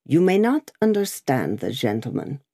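A woman voicing a young gentleman who speaks quietly and with restraint. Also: deep voice, very animated, moderate pace, exaggerated voice. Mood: restrained.

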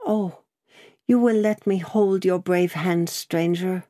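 A woman voicing a timid, frail young seamstress who speaks softly and pleadingly. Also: lowish voice, slow, no room sound, clean studio sound, pitched above the performer's own voice. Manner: soft, pleading, meek.

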